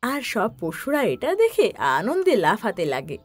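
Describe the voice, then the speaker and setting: Female storyteller for kids' animated tale, higher-pitched, animated delivery, music bed. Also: measured pace. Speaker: narrator. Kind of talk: scripted children's story narration.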